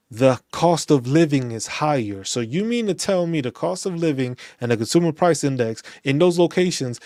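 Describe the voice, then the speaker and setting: A man from New City, speaking in a slightly higher voice than most men. He rants in loose, casual talk, restarts and repeats himself, and is recorded close and dry. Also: no room sound. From New York City. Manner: animated, ranting, repeats phrases and restarts. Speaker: veterans' channel host.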